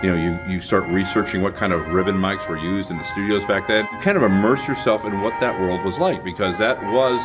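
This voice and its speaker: A man's voice: sits low, brisk, hardly any pauses, over loud music. A man, a theatre director and professor, talking casually and enthusiastically about his production in a behind-the-scenes interview. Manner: chatty, enthusiastic, playful.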